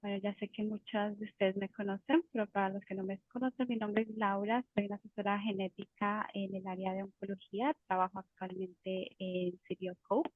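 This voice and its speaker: A woman's voice: medium pitch, flat delivery, brisk, few pauses, phone-quality sound. A woman, a genetic counselor, giving an explanatory webinar talk over a video call, with frequent fillers and restarts. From Colombia.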